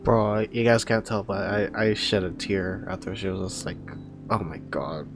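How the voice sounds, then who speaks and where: A man's voice: lowish voice, moderate pace, music in the background. A man reacting casually and emotionally to a game as a streamer, with exclamations and swearing.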